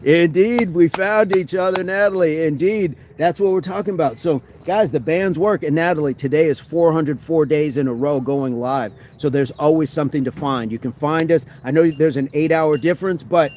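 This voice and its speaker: A man's voice: a little high, moderate pace, few pauses, darkish tone, a little hiss or hum. A man hosting a fitness livestream outdoors, chatting upbeat with viewers and reading their comments from a phone. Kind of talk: casual livestream chat with viewers. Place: outdoors among trees.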